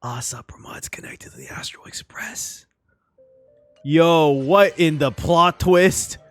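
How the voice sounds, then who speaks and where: A man giving excitable live reaction commentary as a streamer, exclaiming and thinking out loud. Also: fairly high pitch, takes it slowly, dry recording. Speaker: streamer reacting to a game story. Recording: game music behind. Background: game soundtrack and dialogue.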